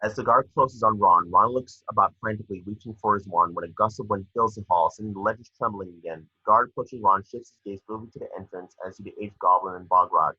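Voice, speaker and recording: A man's voice: fairly low pitch, fast talker, few pauses, husky, no room sound, highs cut off. A man reading stage directions as narrator for a screenplay table read.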